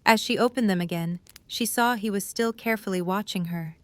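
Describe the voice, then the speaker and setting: A woman's voice: mid-range voice, brisk. A woman reading scripted narration for an audio drama as its storyteller.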